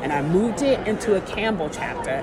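A woman author tells stories in a casual interview, with an average-pitched voice. She is emphatic and plays out bits of dialogue as she goes. Crowd chatter and urban noise sit clearly behind her. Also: expressive, fairly quick. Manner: acts out quoted dialogue, emphatic. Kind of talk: animated casual storytelling.

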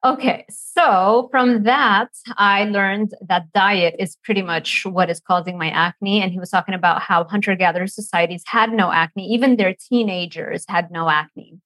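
Interview guest: woman, 30s, from Lebanon, mid-pitched voice, dry close sound, talks freely with laughs and fillers. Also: normal speed, few pauses, a bit bright, no room sound, low-quality recording. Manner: chatty, laughs often, many fillers and restarts. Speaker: nutrition professor, guest. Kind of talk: casual interview storytelling.

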